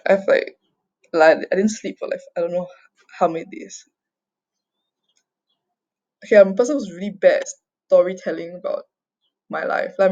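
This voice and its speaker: A young adult woman from Singapore who grew up overseas, giving an unscripted personal confession in a halting, repetitive, sweary way, sounding agitated and distressed. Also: fairly low pitch, darkish tone. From Singapore, raised mostly overseas. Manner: halting, repetitive, sweary, often tags phrases with "okay?". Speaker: vlogger giving a personal account. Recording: dull lo-fi home recording.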